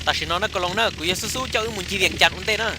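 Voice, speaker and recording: A man's voice: high-pitched, very fast, lightly acted. A man voicing a talkative male character in a comic cartoon. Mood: explaining. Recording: clear background noise.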